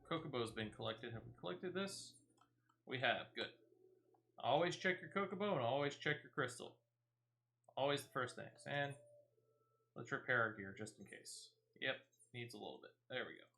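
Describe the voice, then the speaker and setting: A streamer talks through his gameplay in a relaxed, chatty way, narrating each step as he goes. He throws in corny jokes at his own expense and apologizes for them. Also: medium pitch, moderate pace, gravelly, pauses often. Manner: chatty, self-deprecating jokes. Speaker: gaming streamer. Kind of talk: casual gameplay commentary.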